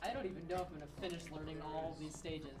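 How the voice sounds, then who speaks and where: A woman's voice: low-pitched, fairly even intonation, racing, music in the background. A woman making wry, mocking asides in casual banter among gamers.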